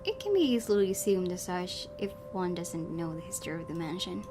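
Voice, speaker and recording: A woman's voice: lowish voice, expressive, brisk. A woman hosting a let's play, reading a horror visual novel aloud and adding casual commentary. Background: music.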